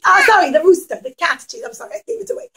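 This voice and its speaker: A woman with a high voice tells a story to young children. She teaches dramatically, leaning on emphatic pauses and putting questions to her listeners. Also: very animated, bright, forceful tone.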